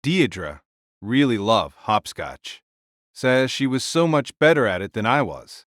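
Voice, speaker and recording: A man's voice: mid-range voice, big pitch swings, a bit bright, no echo, studio quality. A man playing a timid, hesitant pharmacist mage with a stammer in an audiobook drama. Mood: hesitant, subdued. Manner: hesitant, halting. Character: timid, pale, stammering male pharmacist mage, inwardly jealous.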